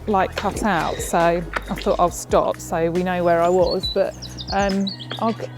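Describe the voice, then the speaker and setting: A woman's voice: lowish voice, fairly even intonation, a little slow. A woman chatting casually outdoors over an intercom headset while helping with a gear test. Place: outdoors on a towpath. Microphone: wireless intercom headset. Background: music.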